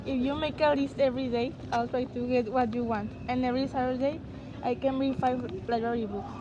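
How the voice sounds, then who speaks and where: A woman's voice: sits high, fairly even intonation, a little fast, dull audio, noisy background, lightly acted. A woman playing an earnest, polite, anxious grown woman in an amateur wartime drama reading. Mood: earnest, concerned.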